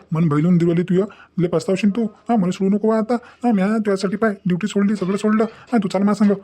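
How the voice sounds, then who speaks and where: A man's voice: high-pitched, warm tone. A man voicing an impatient, possessive young lover in a cartoon moral story, with a rural Varhadi Marathi accent, repeating his commands insistently. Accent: rural Maharashtra, Varhadi Marathi.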